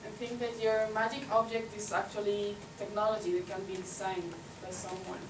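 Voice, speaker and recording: A woman leading a workshop, first guiding an imagination exercise, then speaking haltingly with many fillers. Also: mid-range voice, level tone. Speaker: workshop presenter. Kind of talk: guided imagery then academic talk. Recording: echoey room from far off, loud noise.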